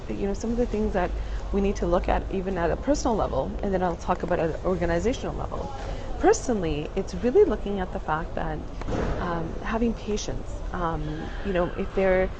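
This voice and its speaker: A woman's voice: fairly low pitch, animated, a little fast, lo-fi sound. A woman speaking casually and thoughtfully about workplace inclusion, with many fillers and restarts, recorded at an airport. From Canada. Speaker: diversity speaker. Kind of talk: casual spoken answer. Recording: noisy airport background. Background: airport announcements and bustle.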